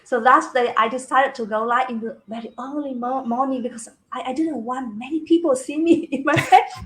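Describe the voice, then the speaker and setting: Bright high-voiced Vietnamese-accented woman. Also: fairly quick, a little hiss or hum, low-quality recording. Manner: animated, laughs often, repeats words. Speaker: talk show co-host. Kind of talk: casual chat.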